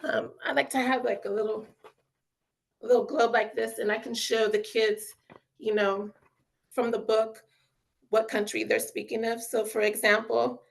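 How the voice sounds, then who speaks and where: A woman's voice: a little high, little pitch movement, normal speed, creaky. A woman giving a casual webinar talk as an author and educator, chatty and full of fillers, upbeat about children's learning. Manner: chatty, lots of fillers like "you know" and "um".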